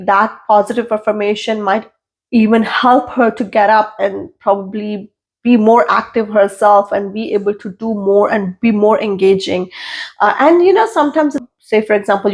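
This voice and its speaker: A woman's voice: mid-range voice, vocal fry. A woman in her thirties, from India and raised in London, speaking as a podcast guest in an earnest, emphatic way and repeating phrases for emphasis. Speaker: guest, registered nurse. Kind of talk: casual podcast interview.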